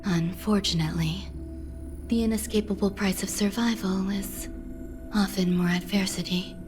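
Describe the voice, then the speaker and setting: Game role: actress as cool, enigmatic female healer, detached and matter-of-fact, mid-range pitch, clear music bed. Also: fairly even intonation, normal speed, lightly acted. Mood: calm, matter-of-fact. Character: composed, mysterious woman healer, coolly businesslike. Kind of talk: dramatic video game cutscene dialogue. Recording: music under the voice.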